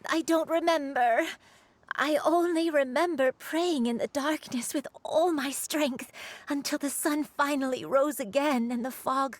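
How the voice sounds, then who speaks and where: Frail, slow-spoken gentle grandmother. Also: sits high. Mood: wistful, emotional. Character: frail, gentle old grandmother with failing memory. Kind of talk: dramatic video game voice acting.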